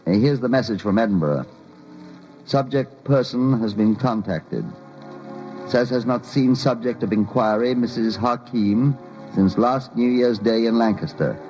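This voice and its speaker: An actor playing a brisk, insistent British chief constable in an old-time radio crime drama, clipped and official. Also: male voice, medium pitch, darkish tone, soft background music, lightly acted. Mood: flustered, official. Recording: muffled vintage radio recording.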